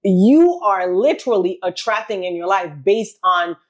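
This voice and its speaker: A woman's voice: medium pitch, expressive, moderate pace. A woman from Haiti hosting a podcast, talking in an earnest, emphatic way with many restarts and repeated words. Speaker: podcast host. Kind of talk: casual heartfelt conversation.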